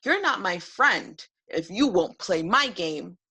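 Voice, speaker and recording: A woman voicing a young male pet dragon from a children's storybook, hot-tempered, gruff and petulant. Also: medium pitch, sing-song, slow, pushed and bright, exaggerated voice. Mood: hurt, angry.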